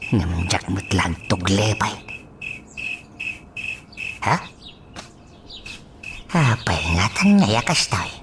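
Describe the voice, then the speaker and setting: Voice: low-pitched, big pitch swings, very slow, long pauses, full character voice. An actor in an Ilocano radio drama playing a restless, lovesick person lying awake and muttering with sighs and moans, over a noisy track with clicks and insects. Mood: wistful, brooding. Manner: sighing, moaning, exclamatory muttering.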